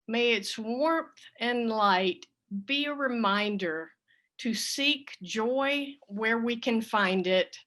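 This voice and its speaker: A woman leading a worship service, giving a scripted welcome in measured, deliberate phrases. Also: a little high, pushed and bright.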